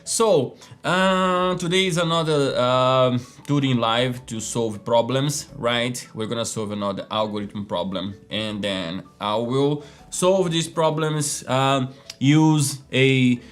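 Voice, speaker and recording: A man leads a relaxed live coding lesson at a middle pitch. He talks in a loose, chatty way, full of fillers and quick "okay?" check-ins. Quiet music plays faintly behind him. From Brazil. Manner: chatty, lots of fillers, keeps asking "right?" and "okay?". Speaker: host of a live coding stream. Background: faint background music.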